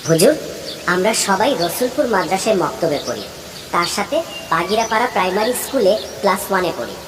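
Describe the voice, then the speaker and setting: A woman voicing a polite young madrasa pupil in a cartoon, respectful and deliberate, in an echoey, distant, noisy recording. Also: mid-range voice, big pitch swings, lightly acted. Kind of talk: scripted cartoon dialogue and Quran recitation.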